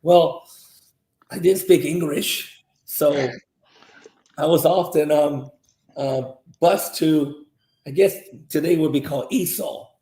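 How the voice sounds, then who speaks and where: A man's voice: fairly high pitch, takes it slowly, warm tone. A man in his fifties, originally from South Korea, a martial arts grandmaster answering interview questions in a rambling way with many fillers and restarts. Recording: roomy echo.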